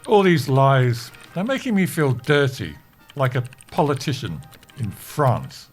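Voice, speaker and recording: A man's voice: medium pitch, sing-song, measured pace, soft background music. An actor playing a pompous, earnest older male office worker who dabbles in martial arts and mindfulness, in a theatrical comedy audio drama style. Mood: grandiose.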